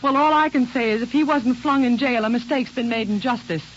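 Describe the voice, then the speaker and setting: An actress playing a scolding, practical middle-aged small-town mother in an old-time radio drama, brisk and disapproving. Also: a little high, fairly even intonation, close to an everyday voice. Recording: hissy, dull vintage radio recording.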